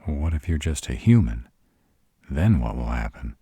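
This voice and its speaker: Very deep, husky sardonic vampire played by a man. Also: very animated, mellow, no room sound, full character voice. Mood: tender, anxious. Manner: sardonic, exasperated, halting when vulnerable. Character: sarcastic brooding male vampire, proud, guarded, secretly smitten. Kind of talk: intimate roleplay audio drama.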